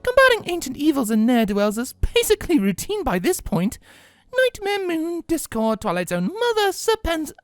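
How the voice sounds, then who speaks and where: A man's voice: very high-pitched, huge pitch swings, normal speed, dry recording, faint music behind, pitched above the performer's own voice, heavily acted. A man voicing an elegant, caring young female pony with a genteel, gracious manner, in a story reading. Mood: reassuring. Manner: genteel, fond of saying darling.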